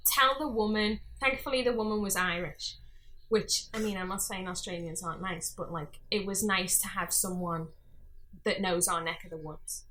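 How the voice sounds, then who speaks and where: A woman in her early twenties with a Scouse accent, telling anecdotes in casual, animated podcast chat. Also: medium pitch, normal speed, a little hiss or hum, rough recording. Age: early 20s. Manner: animated storyteller who acts out dialogue. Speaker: podcast guest.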